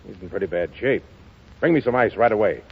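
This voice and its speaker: Casting: commanding, slangy tough lover, emphatic 1930s radio delivery, slightly husky, muffled vintage broadcast. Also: male voice, lowish voice, expressive, fast talker. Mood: urgent, commanding. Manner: curt, hard-boiled, emphatic. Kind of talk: 1930s American radio acting.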